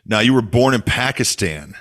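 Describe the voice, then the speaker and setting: A man's voice: medium pitch, lively intonation, normal speed, a bit bright. A man hosting an interview podcast, asking questions in a friendly, informal way. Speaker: podcast host.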